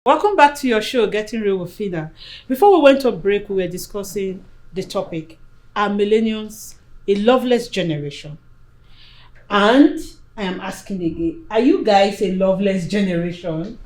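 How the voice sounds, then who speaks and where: A woman's voice: medium pitch, expressive, moderate pace, darkish tone. Woman hosting a talk show.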